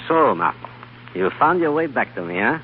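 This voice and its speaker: Man acting elderly scientist, proud mentor, kindly, measured phrasing, old radio play, dull muffled sound. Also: medium pitch, sing-song, close to an everyday voice. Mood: fond, pleased. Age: older. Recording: muffled, hissy 1930s radio recording.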